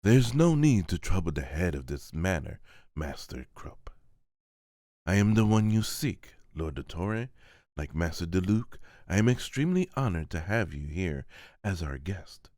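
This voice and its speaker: Man playing a low, discreet, deferential seneschal. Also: sing-song, unhurried, darkish tone, no room sound, studio quality. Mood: composed deference. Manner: polite, careful, formal. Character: deferential, composed male seneschal, dutiful and discreet. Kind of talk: amateur manga voice-over.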